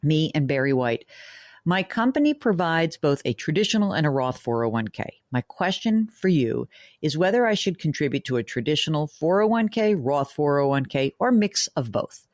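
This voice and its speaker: A woman hosting a radio money show. She reads listener emails aloud and answers them frankly and chattily, working through the numbers out loud and throwing in joking asides. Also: low voice, very animated, moderate pace, no room sound, clean studio sound. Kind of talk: conversational radio call-in advice.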